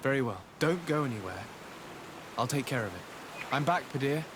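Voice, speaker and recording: An actor playing a courteous, confident noble envoy in a video game, formal and eager to help. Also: male voice, mid-range voice, animated, normal speed, background noise, lightly acted. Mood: reassuring. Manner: polite, crisp, formal. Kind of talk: video game cutscene acting.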